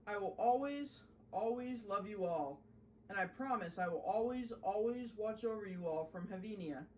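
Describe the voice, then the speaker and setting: A woman's voice: mid-range voice, animated, normal speed, recorded from a distance, a little hiss or hum, natural voice. A woman voicing a tender, motherly spirit of children in a storybook, speaking with solemn reassurance. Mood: loving, earnest.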